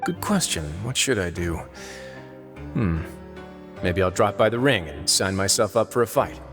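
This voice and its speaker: A man playing a composed, shrewd prison overseer in a video game, speaking in a measured, wry and self-assured way. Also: lowish voice, animated, fairly bright tone, soft background music. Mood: wry, confident.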